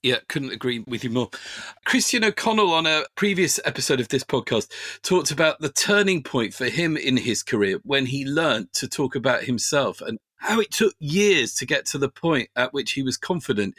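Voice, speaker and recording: A man hosts a conversational interview in the style of a radio presenter. His pitch moves around in an animated way. The recording is dry, with hardly any room sound. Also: normal speed, dry recording. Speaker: podcast host, radio presenter.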